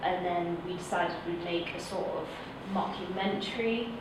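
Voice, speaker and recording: A woman's voice: fairly low pitch, fairly even intonation, normal speed. A woman with a Manchester accent, talking informally as an actor on a panel. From Manchester, England. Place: indoor event room at a film festival panel. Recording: echoey room from far off, noisy.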